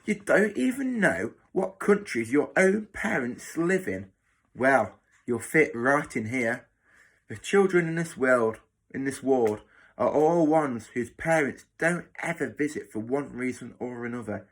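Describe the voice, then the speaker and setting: A man's voice: mid-range voice, lively intonation, a little slow. A man voicing a cruel, bossy hospital matron in a children's story, snapping and mocking with sarcasm. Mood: sneering, sarcastic.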